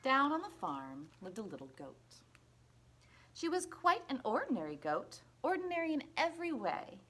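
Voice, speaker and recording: A woman reading a children's picture book aloud in an expressive, deliberate, playful storytelling manner. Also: high-pitched, slight background noise. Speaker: storybook reader at a library.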